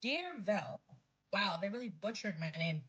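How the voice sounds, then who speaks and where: A woman playing a brainy, matter-of-fact young woman in an audio drama, reading in a precise, deliberate way. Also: mid-range voice, sing-song, a bit bright, breathy edge, muffled recording, heavily acted. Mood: dry.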